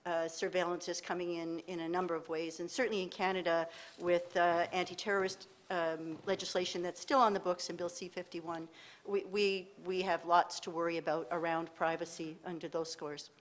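Measured low-voiced woman panelist. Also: little pitch movement. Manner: measured, self-deprecating, many fillers. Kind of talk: panel discussion remarks. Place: lecture hall, at a panel table. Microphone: table microphone.

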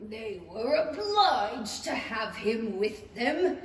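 A woman as a mournful, drawn-out Mock Turtle. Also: a little high, sing-song. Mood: pompous, mournful. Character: mournful, pompous Mock Turtle, slow and singing. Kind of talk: 1930s-style radio play acting on stage. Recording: echoey theatre stage, distant mic, hiss.

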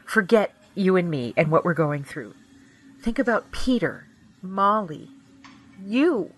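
Anxious, exasperated female partner; breathy, husky, very expressive pitch, intense audio drama delivery. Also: takes it slowly, poor audio. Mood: worried. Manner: intense, pleading. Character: worried, exasperated woman pleading with her partner. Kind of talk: emotional audio drama acting.

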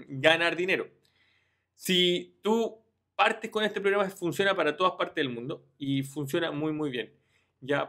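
A man's voice: on the high side, fairly bright tone. A man, talking viewers through a screen-share tutorial in an informal way, often checking in with "ya" and restarting his phrases. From Chile. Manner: informal, addresses viewers directly, frequent "ya" and restarts. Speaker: online business youtuber.

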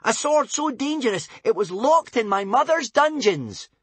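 A man's voice: very animated, moderate pace, husky, dry recording, full character voice. A man voicing a brave young warrior princess in an audiobook, anxious but plucky, urgent and breathless. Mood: defiant. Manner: panting, urgent, shrill squeals and shouts.

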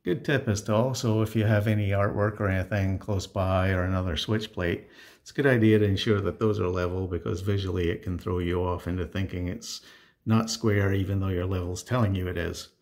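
A man giving a casual how-to narration of a home installation, explaining step by step with halting pauses mid-sentence. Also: sits low, fairly even intonation, a little mellow. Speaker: DIY home installer presenting his project. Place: indoors in a home.

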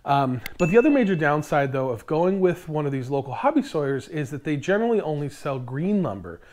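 A man's voice: on the high side, big pitch swings, fairly quick, darkish tone. An American man in his thirties presenting explanatory DIY tips to camera, recorded indoors on a lapel mic. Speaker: host of a DIY woodworking channel. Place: indoor workshop in front of lumber racks.

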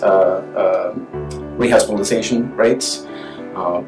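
A middle-aged male hospital physician answering interview questions in his office, halting with many fillers and restarts. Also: fairly low pitch. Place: office, indoors. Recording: roomy office with music bed.

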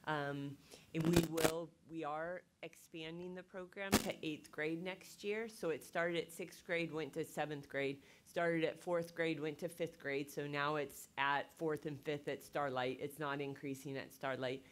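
Low-voiced middle-aged woman, desk mic, explaining a program with lots of ums. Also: fairly even intonation, measured pace. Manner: filler-heavy, explanatory. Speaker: program presenter at school board meeting. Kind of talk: presenting to a school board. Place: boardroom. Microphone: desk microphone.

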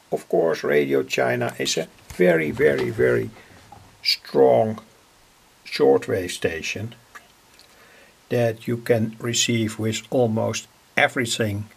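A man from the Netherlands with a Dutch accent, explaining electronics informally in halting, deliberate phrases. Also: medium pitch. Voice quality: gravelly, husky. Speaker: electronics hobbyist, vlogger. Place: indoors at a home electronics workbench.

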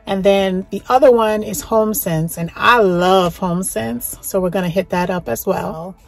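A middle-aged woman narrating a shop-with-me vlog about home decor, enthusiastic and gushing over finds, sometimes dropping to a soft whisper. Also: mid-range voice, normal speed, creaky, faint music behind. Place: in a car, then walking through large stores.

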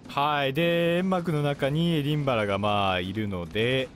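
A man commentating on gameplay as a video host, explaining politely in short, halting phrases. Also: medium pitch. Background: game music and artillery fire.

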